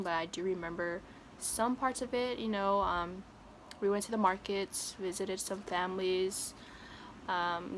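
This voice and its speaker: Young woman from American Samoa, student, casual and halting, faint room noise. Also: mid-range voice. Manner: hesitant, many ums, plain and friendly. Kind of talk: casual video assignment answers. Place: home in San Francisco.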